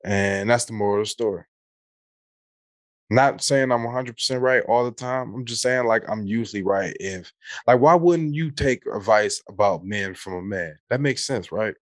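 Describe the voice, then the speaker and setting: American male podcast co-host, low register, dry close sound, opinionated and slangy. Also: normal speed, dry recording. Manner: opinionated, colloquial, mildly profane. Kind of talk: casual unscripted chat.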